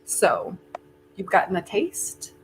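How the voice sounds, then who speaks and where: Casting: woman as genial, boastful older magnate, stagey and persuasive, unhurried delivery, comic play reading, faint noise on the line. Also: medium pitch, wildly swooping pitch, full character voice. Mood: coaxing. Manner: theatrical, coaxing, wry. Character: genial, self-important aging theater-loving tycoon bargaining with Death. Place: remote virtual reading. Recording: noisy online video call audio.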